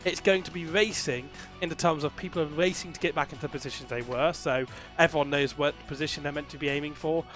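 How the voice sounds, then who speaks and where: A man commentating a sim race, chatty and quick to laugh, his voice worn from a cold. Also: fairly high pitch, a bit bright, soft background music.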